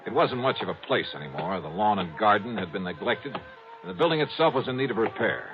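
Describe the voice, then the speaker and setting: A man plays a brisk, hard-boiled American investigator who also narrates a 1950s radio drama. His delivery is wry, punchy and highly varied in pitch. The old recording has a narrow, phone-like band. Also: expressive, over music, natural voice. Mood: matter-of-fact. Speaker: lead actor and narrator. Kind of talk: 1950s radio acting and first-person narration.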